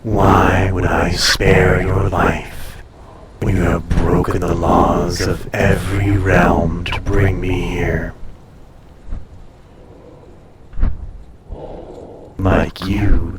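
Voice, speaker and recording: A man voicing an ancient, haughty, possessive dragon in an intimate audio roleplay, speaking formally and deliberately with a breathy, softly menacing, seductive delivery. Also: low-pitched, roomy sound, far from the mic, full character voice. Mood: intrigued, possessive.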